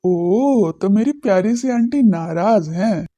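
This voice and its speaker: Guy playing young man in hindi audio drama, earnest, really mellow muffled-soft tone. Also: high-pitched, animated, slow. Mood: playful.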